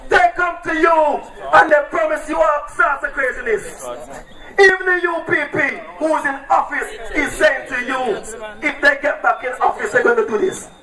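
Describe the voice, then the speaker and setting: A man with an Antiguan accent shouting a political campaign speech at an outdoor rally, hammering repeated rallying cries. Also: extremely high pitch, normal speed. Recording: echoey, distant, loud crowd.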